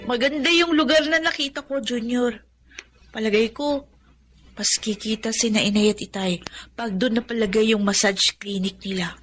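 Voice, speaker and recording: An actress playing a polite, caring young daughter in a Filipino radio drama. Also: female voice, on the high side, moderate pace, music in the background, natural voice. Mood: hopeful, tender.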